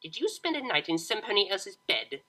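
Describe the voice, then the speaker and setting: A woman's voice: medium pitch, big pitch swings, a little fast, sharp tone, pitched above the performer's own voice. A woman voicing a domineering older pony mare, a stern mother with a scornful, condescending manner.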